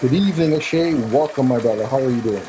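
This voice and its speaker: A man's voice: medium pitch, fast talker, noisy background. A man hosting a live talk show, making announcements and welcoming a guest in an upbeat way with frequent fillers. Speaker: show host.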